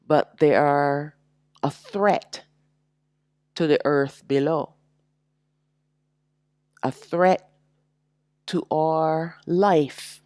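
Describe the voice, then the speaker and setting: Low gravelly nasal lady preaching slow, stuffy from allergies, dry close sound. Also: darkish tone, dry recording. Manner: drawn-out, emphatic phrasing with rising peaks. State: congested from allergies. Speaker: religious teacher. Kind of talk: slow reflective sermon talk.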